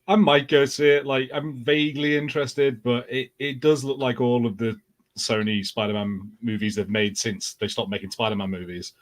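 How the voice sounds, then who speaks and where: A man's voice: medium pitch, flat delivery, normal speed. A man talking casually as a podcast co-host.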